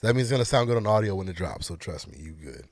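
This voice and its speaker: A man's voice: sits low, animated, racing, no echo, studio quality. A man hosting a casual talk show, easygoing and affirming, speaking with a slightly stuffy nose and laughing along with his guest. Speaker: podcast host. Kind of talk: casual chat. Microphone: headphones and studio mic.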